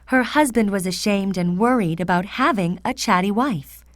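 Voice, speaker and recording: A woman narrating a fairy tale for children in an expressive, deliberate read-aloud manner. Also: medium pitch, no echo, clean studio sound. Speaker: storyteller.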